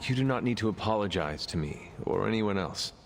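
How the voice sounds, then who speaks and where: Low-voiced, earnest hero, reverberant. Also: male voice, expressive, gravelly. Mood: gentle counsel. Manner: measured, formal, few contractions. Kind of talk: video game cutscene acting. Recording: long reverberant hall, music behind.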